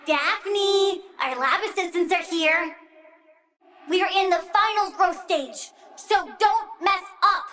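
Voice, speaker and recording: A woman's voice: very high-pitched, slow pace, bright, forceful tone, heavily acted. A woman playing a childlike plant-human girl villain in a video game, bratty and petulant, swinging from chirpy to gleefully vengeful menace. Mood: bossy, menacing.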